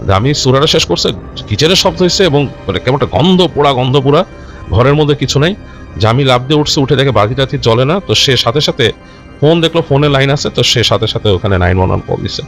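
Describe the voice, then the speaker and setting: A man telling a horror story informally and unscripted, in a halting, conversational way with repeated phrases. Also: medium pitch, expressive, fairly bright tone. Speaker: storyteller of a ghost story show. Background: background music.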